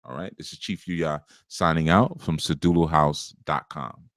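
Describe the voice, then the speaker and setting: A man's voice: extremely low pitch, normal speed, fairly bright tone, no room sound, studio quality. A man giving a casual spiritual teaching on a live show, talking in a conversational, rambling way with many asides.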